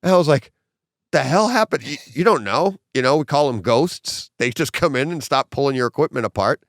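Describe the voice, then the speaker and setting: A middle-aged man hosting a casual home theater podcast with his son. He tells stories animatedly and acts out quotes, and his voice is slightly hoarse. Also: mid-range voice, animated, a little fast, fairly bright tone, dry recording, studio quality. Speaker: podcast host.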